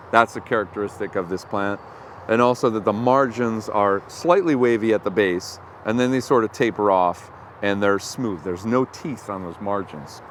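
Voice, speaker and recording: A man's voice: on the low side, fairly bright tone, slight background noise. A man hosting a casual outdoor vlog, explaining wild plants with enthusiasm as he walks through the woods. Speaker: host. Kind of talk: casual outdoor foraging talk.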